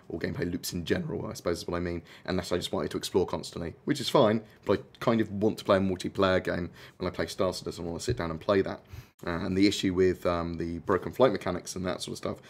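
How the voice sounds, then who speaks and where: A man from the UK hosting a gaming stream, hoarse, chatting casually with lots of ums and uhs. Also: deep voice. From United Kingdom. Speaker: gaming channel host. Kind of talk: casual livestream chat.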